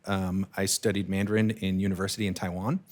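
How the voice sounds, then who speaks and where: A man's voice: sits low, level tone, fast talker, darkish tone. A man in his thirties, a startup founder, talking casually with many fillers and restarts in an interview.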